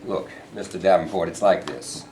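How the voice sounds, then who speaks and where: A man playing a cautious, pragmatic union president in a staged reading, with halting delivery. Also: low-pitched, close to an everyday voice. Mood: measured, wary. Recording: echoey room, faint noise.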